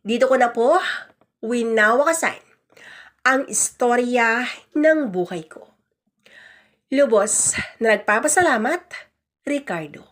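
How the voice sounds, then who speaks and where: A woman's voice: a little high, slow, pauses often. A woman storyteller reading a first-person life story as dramatic scripted narration.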